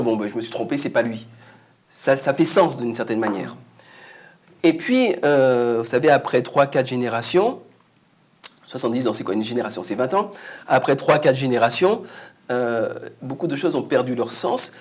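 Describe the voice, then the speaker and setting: A man taking part in a Bible study discussion, explaining ideas in a measured way. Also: mid-range voice. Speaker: discussion participant.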